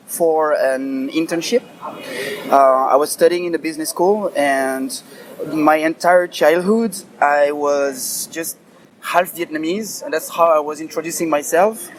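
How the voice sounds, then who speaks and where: A young man. His voice sits a little on the high side, and he talks in a measured, hesitant way, leaning on fillers as he goes. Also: rough recording. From France. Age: 20s. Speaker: guest.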